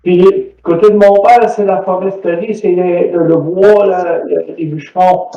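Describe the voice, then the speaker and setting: A man's voice: high voice, fairly even intonation, unhurried, vocal fry, warm tone. A man from Quebec in a casual video-call chat, searching for words and repeating himself. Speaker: participant. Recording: distant, roomy, dull video-call audio.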